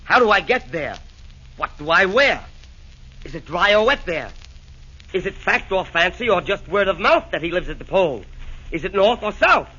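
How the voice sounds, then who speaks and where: An actor playing a nervous, fussy fiend in a 1940s radio comedy. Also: male voice, high-pitched, very animated, normal speed, sharp tone, slightly rough, heavily acted. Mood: fretful. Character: fretful male fiend, nervous. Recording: muffled 1940s radio broadcast.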